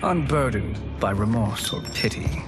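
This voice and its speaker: An actor playing a scheming sorcerer in a video game cutscene, persuasive and deliberate in his speech. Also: lowish voice, animated. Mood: proud, measured. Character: scheming, silver-tongued male sorcerer, calm tempter. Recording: loud cinematic music behind voice.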